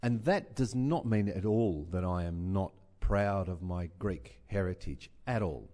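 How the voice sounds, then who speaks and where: A man talks about his work on a writers festival panel. He sounds earnest and reflective, and his slightly low voice often circles back and repeats a word before he moves on. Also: unhurried, warm tone. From Australia. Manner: earnest, stumbles and repeats words as he thinks aloud.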